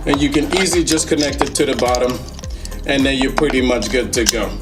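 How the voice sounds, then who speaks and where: A man's voice: mid-range voice, a little fast, loud music behind the voice. A man giving casual, enthusiastic unboxing commentary as a tech reviewer, in a playful, slangy, joking manner. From Netherlands. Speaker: tech reviewer, video host.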